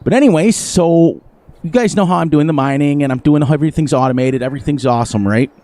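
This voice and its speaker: A man streaming a farming game live, chatting casually with viewers, his voice a little hoarse. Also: a little high, fast, warm tone. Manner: rambling, playful, sing-song repetitions. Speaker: gaming livestreamer. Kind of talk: casual live gameplay commentary.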